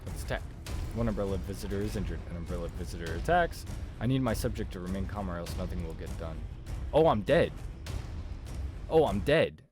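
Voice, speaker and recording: A man doing casual let's play commentary, reading visual novel text aloud and thinking out loud. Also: deep voice, lively intonation, a little fast, over music. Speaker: video game streamer.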